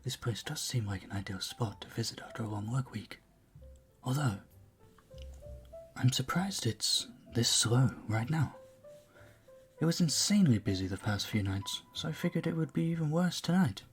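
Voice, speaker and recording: A man's voice: medium pitch, expressive, normal speed, faint music behind, lightly acted. An actor playing a charming, flirtatious vampire bartender in an intimate audio roleplay, suave and teasing as he speaks to the listener. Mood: welcoming, smooth.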